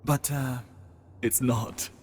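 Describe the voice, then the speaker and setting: An actor playing a sadistic, theatrical Nazi general in a video game cutscene, gloating and menacing in a halting delivery. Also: male voice, mid-range voice, steady tone, a little mellow, a little hiss or hum, natural voice. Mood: smug, threatening.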